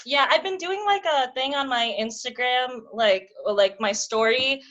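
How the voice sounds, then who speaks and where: A woman's voice: high voice, a little slow, fairly bright tone, highs cut off. A woman in her twenties, a comedian guest, chatting casually and rambling with lots of fillers and giggles. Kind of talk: casual interview chat.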